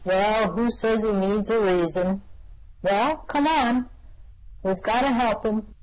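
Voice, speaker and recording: Wry male space traveller, woman in amateur reading, slow, dry asides. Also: mid-range voice, darkish tone, natural voice, low-quality recording. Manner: brisk, wry. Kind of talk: amateur radio play reading.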